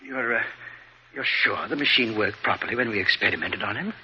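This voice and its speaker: An actor playing a fussy, high-strung science professor with a hesitant, exclamatory manner in a 1950s radio drama. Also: male voice, fairly quick, bright, forceful tone. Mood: dismayed. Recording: dull, noisy vintage radio recording.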